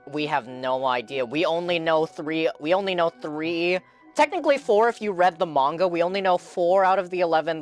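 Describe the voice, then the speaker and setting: A young man chatting casually as a game streamer, rambling earnestly with a fan's enthusiasm and repeating his phrases. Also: a little high. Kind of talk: casual live-stream chat, game reaction. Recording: game music in the background.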